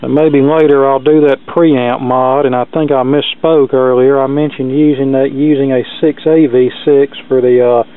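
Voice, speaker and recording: A man's voice: medium pitch, little pitch movement, normal speed, few pauses, clean tone, faint music behind. A man narrating a hands-on repair in a casual, plainspoken, matter-of-fact way, as the host of an electronics repair video. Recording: narrow phone-like lo-fi sound.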